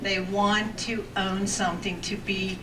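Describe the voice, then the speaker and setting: Woman at a council podium asking pointed questions, with a big voice and halting restarts. Recorded from far off in an echoey, noisy room. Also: mid-range voice, flat delivery. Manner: says she has a big voice. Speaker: resident giving public comment. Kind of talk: public comment at a council meeting. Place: township council meeting room. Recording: echoey room, distant mic, background noise.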